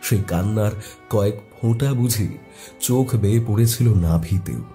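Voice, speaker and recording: A man narrating a scripted horror story in a dramatic, measured way with weighty pauses. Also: fairly low pitch, dark tone, faint music behind.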